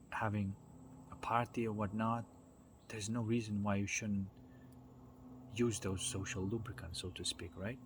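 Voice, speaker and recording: A man giving an informal, unscripted talk as a spiritual self-development speaker, halting as he searches for words and often checking in with the listener. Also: lowish voice. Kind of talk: informal unscripted explanatory monologue. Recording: noticeable background noise.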